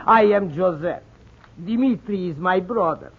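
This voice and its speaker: Slow, husky Russian restaurateur. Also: male voice, high voice. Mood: obliging. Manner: halting, formal, broken-English phrasing. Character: slow, courteous Russian immigrant restaurant owner, muddled with figures. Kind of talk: 1940s radio acting. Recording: muffled, thin 1940s radio broadcast.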